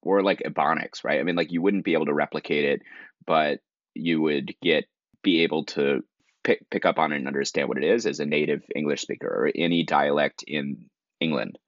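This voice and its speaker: A man's voice: very deep voice, brisk, no echo, lo-fi sound. A man co-hosting a podcast, talking casually and rambling with many "likes" and restarts. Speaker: podcast co-host. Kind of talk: casual conversation.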